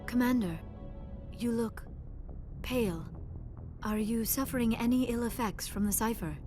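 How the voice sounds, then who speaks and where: Woman as young alien scientist, earnest, gentle, formal, halting phrases, higher voice, game music behind. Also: natural voice. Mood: concerned. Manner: careful, formal speech without contractions, halting. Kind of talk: video game dialogue.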